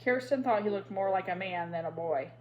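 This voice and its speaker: A woman's voice: medium pitch, fairly quick, dull audio, slight background noise. Woman reading a storybook aloud. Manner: steady, even read-aloud narration. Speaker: storybook reader. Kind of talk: reading a children's novel aloud.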